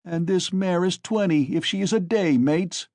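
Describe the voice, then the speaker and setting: A man's voice: high-pitched, normal speed, darkish tone, no room sound, studio quality, pitched above the performer's own voice. A man doing a jeering crowd peasant. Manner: jeering, incredulous. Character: mocking male peasant in a crowd.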